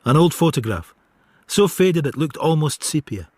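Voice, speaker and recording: Middle-aged Scottish detective: husky, a touch high-pitched, brooding, dry radio drama delivery. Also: male voice, lively intonation, normal speed, darkish tone, no echo. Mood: brooding, reflective. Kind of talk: radio drama acting with reflective narration.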